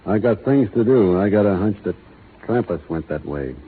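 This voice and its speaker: An actor playing a steady cowboy foreman who gives calm, clipped commands, in 1930s radio acting. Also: lowish voice, normal speed, close to an everyday voice. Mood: grieving. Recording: tinny 1930s radio broadcast, faint hiss.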